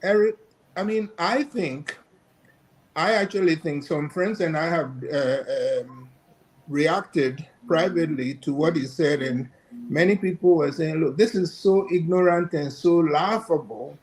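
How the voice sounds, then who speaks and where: An older man from Ghana, a guest professor giving measured, hesitant commentary. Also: on the high side, a little mellow, low-quality recording. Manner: measured, hesitant with many fillers.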